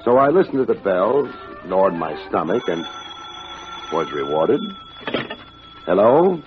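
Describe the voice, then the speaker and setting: An American actor playing a wry, unflappable private detective in a 1950s radio drama, deadpan and wisecracking. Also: male voice, sits low, measured pace. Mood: terse. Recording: dull old radio recording, music behind.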